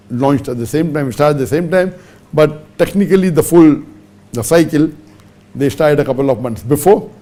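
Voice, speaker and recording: A man with a fairly high yet mellow, dark-toned voice answers in a lecture-style talk. He reels off numbers one after another and hits key words with sharp upward pitch jumps. English is not his mother tongue. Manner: methodical, reels off figures and rankings one by one. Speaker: religious speaker answering a question.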